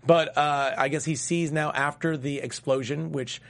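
A man's voice: a little high, steady tone, normal speed, creaky. A man co-hosting a casual TV recap chat, rambling and restarting his sentences. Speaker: TV recap show co-host.

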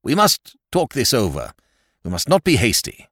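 A man voicing an anxious, formal older gentleman who pleads in measured tones. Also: medium pitch, wildly swooping pitch, no room sound, studio quality, exaggerated voice. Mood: pleading. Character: older male baronet, anxious and stiff.

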